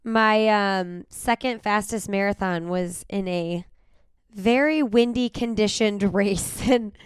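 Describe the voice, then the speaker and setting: A woman hosting a podcast, raspy from a cold, chatting casually and laughing often. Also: medium pitch, slow, no room sound. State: recovering from a cold. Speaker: podcast host. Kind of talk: casual interview chat.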